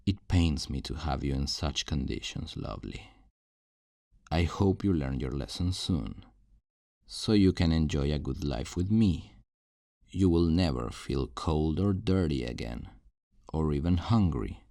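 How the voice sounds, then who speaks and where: A man acts out a controlling kidnapper with an extremely low, mellow voice that swings expressively. He is possessive and menacingly tender, coaxing and talking down to his captive in a close, dry ASMR roleplay. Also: lively intonation, creaky, dry recording, heavily acted. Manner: slow, coaxing, condescending pet-name talk. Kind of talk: ASMR roleplay, intimate monologue to the listener.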